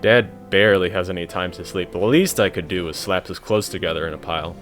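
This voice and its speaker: A man's voice: low-pitched, a little fast, fairly bright tone, soft background music. A young man playing a mild, earnest, slightly hesitant friend in a scripted romantic comedy audio drama. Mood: mild, apologetic. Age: young adult.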